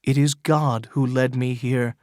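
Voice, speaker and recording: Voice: medium pitch, fairly even intonation, unhurried, a little mellow, dry recording, clean studio sound, lightly acted. A storyteller voicing a dying young male minister who speaks in a solemn, declaiming way. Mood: resolute.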